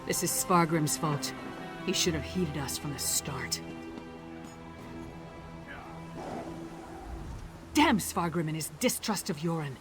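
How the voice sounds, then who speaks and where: An actress playing a brisk, blunt, commanding female investigator in a fantasy video game, with a dry and decisive manner. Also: low-pitched, animated, over music. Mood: frustrated. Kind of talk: video game character acting.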